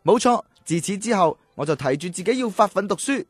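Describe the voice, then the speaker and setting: An actor playing a timid, polite young scholar of ancient China, earnest and hesitant, in a radio drama. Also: male voice, sits high, expressive, fairly quick, breathy edge, no room sound.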